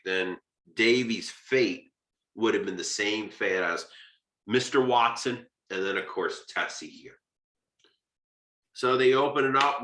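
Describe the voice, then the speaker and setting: Bright-voiced American man lecturing, echoey room. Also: fairly low pitch. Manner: conversational and emphatic, punctuating points with rhetorical questions. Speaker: literature lecturer and video host. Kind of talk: informal explanatory lecture.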